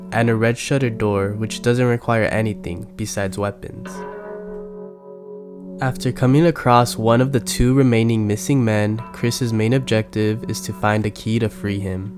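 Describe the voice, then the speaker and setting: A man giving a scripted recap narration of a video game's story, as a lore narrator. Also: on the low side. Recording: music bed under the narration.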